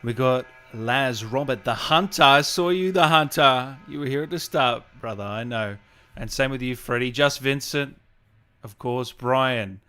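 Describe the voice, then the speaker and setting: A man's voice: medium pitch, measured pace, bright, forceful tone, clear, resonant tone, soft background music. A man hosting a casual livestream interview, matey and encouraging with his guest and viewers.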